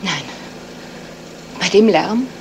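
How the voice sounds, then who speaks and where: A woman's voice: medium pitch, drawn-out words. An actress playing a guarded, reserved woman witness in a 1970s German radio crime play, answering tersely. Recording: noisy vintage radio play recording.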